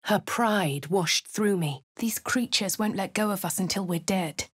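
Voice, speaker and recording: A woman with a posh English accent speaking a game hero's lines and first-person narration in a measured way. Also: mid-range voice, slightly rough, dry recording, studio quality. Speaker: player character voice. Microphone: studio mic.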